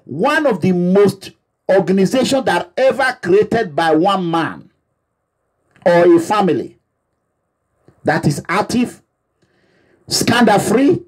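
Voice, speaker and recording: A man's voice: sits high, breathy edge. A Nigerian man, an activist leader, giving an impassioned, repetitive address to his supporters in a preaching manner. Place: abroad, away from home.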